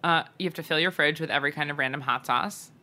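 A woman with a bright, forceful voice pitched a little low chats as a podcast co-host. Her talk is casual and comedic, full of animated, joking storytelling. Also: fast.

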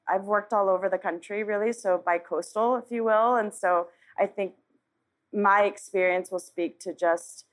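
A woman in hospitality speaking in a measured way with "um" fillers on a live panel. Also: mid-range voice.

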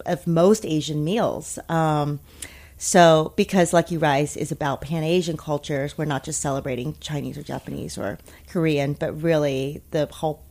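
A woman born in Taiwan and raised in America, talking casually as an interview guest, thoughtful with many pauses and restarts. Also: low-pitched, darkish tone. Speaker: interview guest, food media founder.

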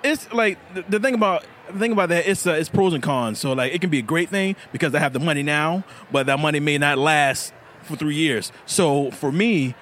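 A man's voice: a little high, a little fast, a bit bright, a little hiss or hum. Earnest knife maker explaining his craft. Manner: earnest, explaining step by step with fillers. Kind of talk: casual interview at a festival. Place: outdoor festival table.

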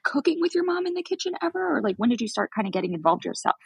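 A woman hosting a podcast interview, bubbly and quick to agree with her guest, often exclaiming. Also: sits high, big pitch swings, gravelly, dry recording. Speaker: podcast host. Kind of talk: casual interview chat.

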